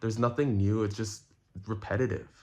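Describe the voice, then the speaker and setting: Low-voiced man explaining himself to viewers. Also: steady tone. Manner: earnest, halting, repeats phrases for emphasis. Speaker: content creator and streamer. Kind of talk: casual explanatory rant to viewers.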